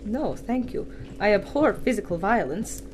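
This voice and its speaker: A woman's voice: medium pitch, expressive, normal speed, close to an everyday voice. Woman acting an anxious, proper lady professor. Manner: proper, worried. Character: gentle, anxious woman scientist, averse to violence. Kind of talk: audio drama acting. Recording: clear background noise under voice.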